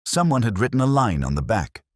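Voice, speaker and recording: A man playing a brooding, introspective British police inspector, narrating a detective story in a measured, solemn, literary way. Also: mid-range voice, sing-song, no echo, clean studio sound, close to an everyday voice. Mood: brooding, reflective. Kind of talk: scripted first-person detective story narration.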